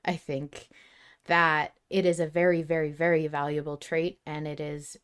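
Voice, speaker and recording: A woman's voice: deep voice, flat delivery, normal speed, clean tone. A woman co-hosting a podcast, talking casually in discussion. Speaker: podcast host.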